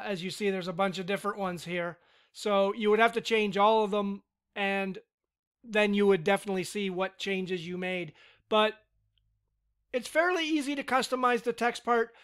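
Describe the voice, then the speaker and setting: A man giving a relaxed, step-by-step screen-recorded software tutorial with casual fillers. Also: high voice, moderate pace, smooth. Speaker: tutorial instructor.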